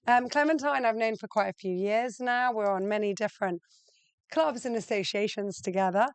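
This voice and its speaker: Woman host, upbeat, gushing, clear smooth voice, dry studio sound. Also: mid-range voice, dry recording. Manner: enthusiastic, effusive. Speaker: show host. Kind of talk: casual interview.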